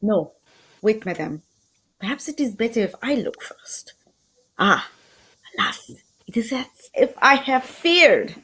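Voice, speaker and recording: A woman's voice: on the high side, very animated, a little slow, long pauses, a bit bright, slightly rough, heavily acted, rough recording. A woman acting a polite, fussy Belgian male detective with a French accent and formal, theatrical delivery, in radio mystery style. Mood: grave, courteous.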